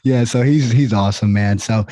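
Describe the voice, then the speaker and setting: A man's voice: lowish voice, moderate pace, warm tone, no room sound. A middle-aged man hosting a casual podcast interview, rambling and restarting his words often. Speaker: podcast host.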